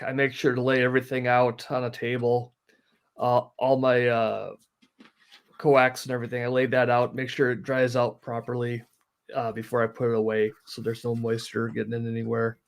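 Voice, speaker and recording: A man's voice: mid-range voice, creaky. A man giving casual, practical explanations as a guest, in a matter-of-fact, step-by-step way. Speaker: guest, hobbyist sharing practical tips.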